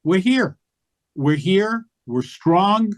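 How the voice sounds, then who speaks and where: A man's voice: high voice, expressive, extremely slow. An older man and grandfather, speaking as an interview guest in a passionate, emphatic way. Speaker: guest, activist. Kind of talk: interview conversation.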